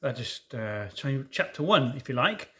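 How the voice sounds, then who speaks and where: A man reading a children's story aloud in an expressive way, with informal asides to listeners. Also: medium pitch, a little slow. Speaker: storybook reader.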